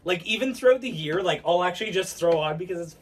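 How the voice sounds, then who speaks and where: A man's voice: sits high, animated, fast, slightly rough, distant mic, low-quality recording. A man co-hosting a podcast in casual, unscripted chat, rambling along with lots of "like" as filler. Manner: rambling, filler-heavy with frequent "like". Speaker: podcast co-host.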